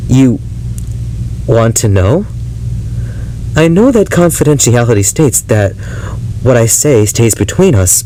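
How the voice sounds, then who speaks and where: Slow, unhinged obsessive man, played by an actor. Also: mid-range voice, warm tone, a little hiss or hum, poor audio. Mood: uneasy, confessing. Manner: halting, brooding, breaking into unhinged laughter and intensity. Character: unhinged obsessive yandere ex-lover confessing to his therapist. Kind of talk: dramatic audio roleplay monologue.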